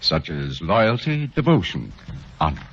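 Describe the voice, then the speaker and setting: A man's voice: deep voice, sing-song, measured pace, fairly bright tone, breathy edge, exaggerated voice. An actor playing a kindly, teasing older male dean in a 1940s radio drama, with a genial, chuckling manner. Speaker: dean and counselor. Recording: dull lo-fi 1940s radio recording.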